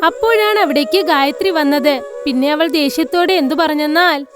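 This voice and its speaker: A woman with a high-pitched voice narrates a scripted moral tale for children. Faint music runs behind her storytelling. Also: moderate pace.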